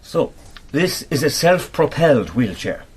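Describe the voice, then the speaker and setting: An actor playing an upbeat, encouraging wheelchair fitter in a naturalistic radio drama. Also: male voice, fairly high pitch, sing-song, unhurried, husky, full character voice.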